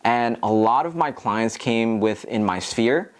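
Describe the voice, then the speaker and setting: A man's voice: medium pitch, expressive, measured pace. A man with an American accent talking casually to viewers as a tutorial host, in an earnest, encouraging, explanatory way. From Philadelphia, United States. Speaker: real estate agent and video host.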